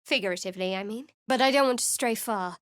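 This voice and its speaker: Woman doing sardonic young fantasy game cleric gal, guarded, dry clipped delivery, medium pitch, no room sound. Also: fast, smooth, no echo, close to an everyday voice. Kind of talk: fantasy video game voice acting.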